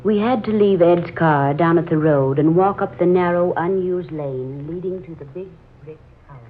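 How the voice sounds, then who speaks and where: A woman's voice: on the low side, normal speed, lightly acted. An actress playing an earnest young woman with a dramatic manner in a 1940s radio drama. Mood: solemn, haunted. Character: Laura Cabot, earnest young woman telling an uncanny tale. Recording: muffled, noisy 1940s radio recording.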